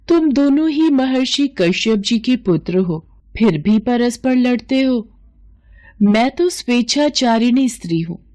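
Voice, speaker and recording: A coy, persuasive enchantress goddess from an animated myth voiced by a woman. She speaks slowly and formally, with a lively lilt that often rises high.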